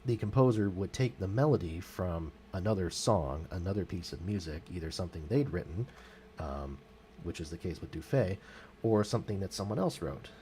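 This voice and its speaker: A man's voice: sits low, animated, normal speed, warm tone, slight background noise. A man talking casually about music history for his channel, with frequent ums and self-corrections. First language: English. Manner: hesitant, many fillers and self-corrections. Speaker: music vlogger. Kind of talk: casual spoken music commentary.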